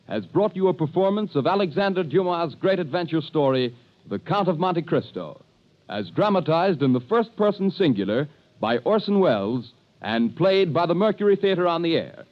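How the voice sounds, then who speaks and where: Formal 1930s radio announcer, man. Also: fairly high pitch. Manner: crisp, formal delivery. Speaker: network announcer. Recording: muffled 1930s radio broadcast with music.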